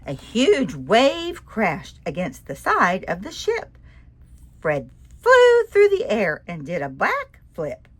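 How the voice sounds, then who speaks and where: A woman reading a rhyming children's picture book aloud in an expressive, sing-song way, asking the listener questions. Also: medium pitch, breathy edge.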